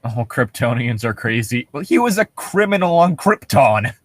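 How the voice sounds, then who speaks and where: A man with a middling-pitched voice co-hosts in a casual, joking style. He is irreverent and swears freely as he reads and comments on stories. The recording is close and dry, with very little room sound. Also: very animated, moderate pace, dry recording. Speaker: co-host.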